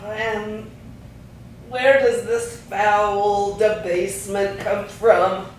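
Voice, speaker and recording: A woman's voice: mid-range voice, flat delivery, very slow. A woman playing a probing questioner in an absurdist chorus, declaiming theatrically on stage. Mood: portentous. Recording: very echoey distant stage, noisy.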